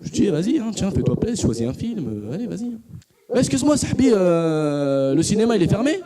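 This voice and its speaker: A man performing a stand-up comedy routine, telling an animated joking story with quick asides. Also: sits high, slight background noise.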